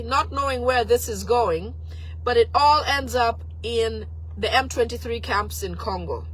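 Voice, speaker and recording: A woman, hoarse and raspy from a flu, giving critical political commentary on a live stream and answering viewers' comments. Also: a little high, a bit bright, poor audio. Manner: talks to viewers and reads their comments aloud; pointed and critical, with frequent fillers and restarts.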